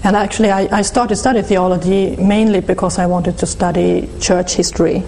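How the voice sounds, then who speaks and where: Swedish-accented woman, halting and mellow. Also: medium pitch, fairly even intonation, vocal fry. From Sweden. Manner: hesitant, halting, many restarts. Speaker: interview guest. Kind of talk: reflective personal testimony.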